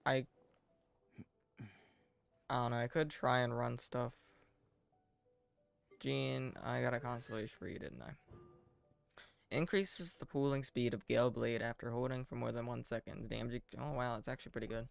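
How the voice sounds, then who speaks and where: A man giving casual live game commentary in a deadpan, self-deprecating way, muttering asides to himself. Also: medium pitch, moderate pace, vocal fry, pauses often, dry recording, faint music behind. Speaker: game streamer.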